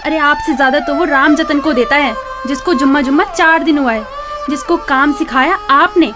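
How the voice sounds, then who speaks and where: A high-voiced wife, acted by a woman in a radio drama, sharp-tongued and theatrical, speaking. Music plays behind her. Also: moderate pace, lightly acted. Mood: scolding. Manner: scolding, complaining, theatrical. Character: nagging, sharp-tongued village wife of a poor blind labourer. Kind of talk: Hindi radio drama acting.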